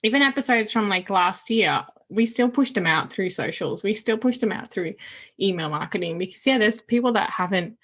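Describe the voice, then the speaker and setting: A woman hosting a casual podcast interview, speaking English as a second language, enthusiastic and quick to laugh. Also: medium pitch, expressive. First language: not English. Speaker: podcast host.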